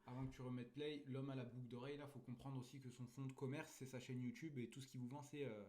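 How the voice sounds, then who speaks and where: Brief: man, guest, quick mocking delivery, roomy echo on the mic. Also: medium pitch, steady tone, a crowd in the background. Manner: mocking, rapid-fire. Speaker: guest co-host. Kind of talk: casual reaction chat. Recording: echoey room.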